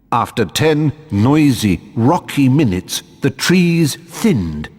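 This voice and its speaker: An actor, a man with a British accent and a husky voice, narrating a fantasy adventure game like a storybook. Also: medium pitch. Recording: long reverb on the voice.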